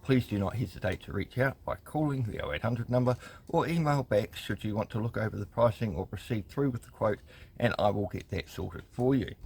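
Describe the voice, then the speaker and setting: A man's voice: on the low side, breathy edge. A man, telling a customer complaint story in a wry, exasperated way and reading emails aloud with lots of ums. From New Zealand. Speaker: consumer rights vlogger.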